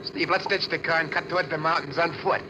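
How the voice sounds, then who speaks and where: An actor playing a cowardly young bank robber, nervous and whining with an American accent, in 1940s radio style. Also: male voice, a little high, fairly even intonation, quick pace, natural voice. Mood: panicky. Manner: whining, nervous, stammering, pleading. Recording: old radio recording, faint vehicle noise.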